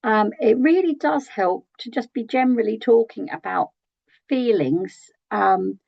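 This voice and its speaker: A British woman with a croaky, gravelly voice leads an early years training session. Her delivery is chatty, and she often checks in with tag questions and pauses on "um". The sound is dry and a little dull. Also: a little high, no echo. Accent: British English. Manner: conversational, asks tag questions, frequent ums. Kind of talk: training webinar talk.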